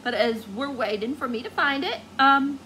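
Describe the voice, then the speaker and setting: A woman's voice: sits high, lively intonation, normal speed, pushed and bright, slight background noise, low-quality recording. A woman book vlogger chatting casually to viewers, gushing and rambling as she searches for words.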